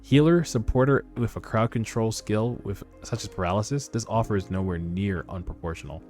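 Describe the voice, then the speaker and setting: Man reading as a low-voiced, polite corporate recruiter. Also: darkish tone, lightly acted. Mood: measured, courteous. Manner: measured, formal, persuasive. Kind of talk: webtoon read-aloud dub. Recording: background music under voice.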